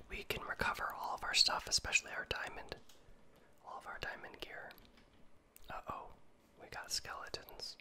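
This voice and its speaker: A man whispering gameplay commentary in an ASMR style, talking himself through tense moments and repeating words when he panics. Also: pushed and bright. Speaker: gaming video creator.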